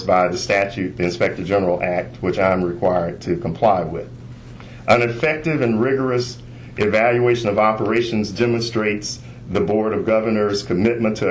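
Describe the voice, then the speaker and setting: A man speaking as an internal auditor, giving a measured, formal presentation on a conference call. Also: on the low side. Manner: measured, formal, bureaucratic phrasing. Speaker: internal auditor, inspector general. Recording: noisy conference call recording.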